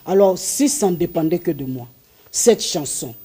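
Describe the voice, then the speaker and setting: A Congolese man with a Congolese French accent, hosting an interview and asking slow, probing questions. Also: sits high, expressive, dark tone, husky. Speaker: host.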